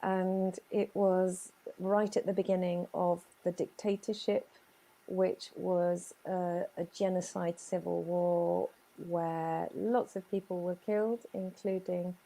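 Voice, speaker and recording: A woman's voice: on the low side, flat delivery, vocal fry, warm tone, poor audio. A woman from Chile with a Chilean Spanish accent, telling her life story earnestly in an interview. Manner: earnest, emphatic storytelling with dramatic pauses. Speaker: guest business owner.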